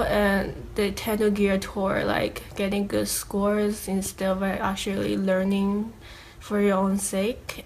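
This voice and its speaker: A woman's voice: medium pitch, slight background noise, low-quality recording. A young woman, a college student, answering interview questions in a casual, reflective way with many fillers.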